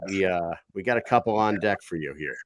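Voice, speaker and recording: A man's voice: low voice, animated, fairly quick, slight background noise. A man hosting a casual fight-talk show, chatty and rambling, often restarting his sentences. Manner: chatty, rambling, self-correcting. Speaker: host.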